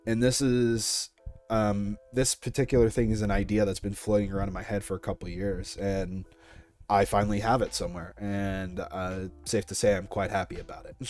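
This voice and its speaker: A man's voice: fairly low pitch, flat delivery, normal speed, darkish tone. A young man answering questions about his creative work in a casual interview, as a content creator. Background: music.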